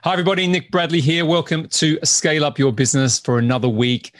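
A man's voice: a little high, quick pace, no echo. A man from Australia with a slightly English-tinged Australian accent hosts a business podcast. He speaks in a friendly, chatty way and often restarts his sentences. His voice sounds dry and close, with no room around it. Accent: Australian with some English. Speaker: podcast host. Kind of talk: podcast interview.

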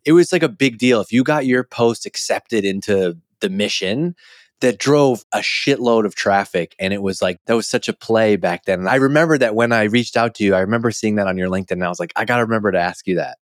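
A man's voice: on the low side, brisk, no room sound, clean studio sound. A man talking as a podcast host in a casual, colloquial, informal way. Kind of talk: casual interview chat and scripted ad read.

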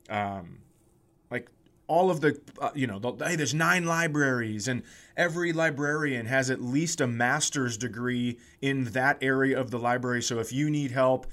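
A middle-aged American man with a mid-pitched voice tells comic stories in a loose, conversational way. He acts out other people's lines as a podcast co-host would. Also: lively intonation, normal speed, fairly bright tone. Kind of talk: casual comic storytelling.

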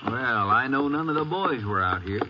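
An actor playing a folksy, plain-spoken Texas sheriff in a 1950s radio western, with an American accent, on an old radio recording. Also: male voice, mid-range voice, animated, moderate pace, highs cut off, background noise, close to an everyday voice. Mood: cautious.

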